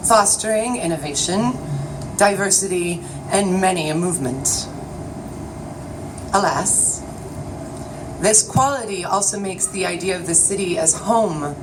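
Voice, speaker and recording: Woman doing spoken word, slow n theatrical, mic kinda far, noisy street sound behind. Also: mid-range voice. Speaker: writer and magazine editor performing own work. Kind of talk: live spoken-word literary reading. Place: city alley at a live event. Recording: distant mic, noisy outdoor ambience.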